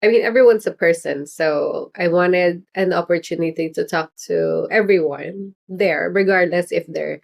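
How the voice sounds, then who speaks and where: A middle-aged woman chatting loosely on a livestream, her voice sitting a little low. She rambles, restarts and fills gaps with "um" and soft laughs, warmly casual and unscripted. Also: normal speed, vocal fry, few pauses. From Philippines heritage. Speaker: political candidate hosting a livestream.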